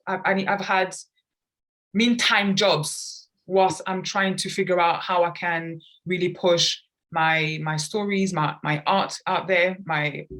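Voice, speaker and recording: Low-pitched earnest woman from London. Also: a bit bright. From grew up in London, England. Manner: earnest, reflective, talks at length about personal experience. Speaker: guest, children's author and artist. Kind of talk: conversational podcast interview.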